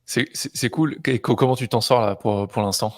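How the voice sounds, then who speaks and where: Guy hosting a podcast, mid pitch, super informal chat, thinks out loud, dry sound. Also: brisk, no room sound. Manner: informal, familiar, thinking aloud. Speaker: podcast co-host and interviewer. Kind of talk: casual conversational interview.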